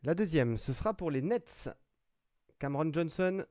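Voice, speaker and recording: A man's voice: on the high side, animated, normal speed, darkish tone, no echo, studio quality. A man hosting a trading card break, chatting casually and announcing each player pulled as he opens packs. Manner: chatty, announces player names one by one, fillers and restarts. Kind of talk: live commentary while opening card packs.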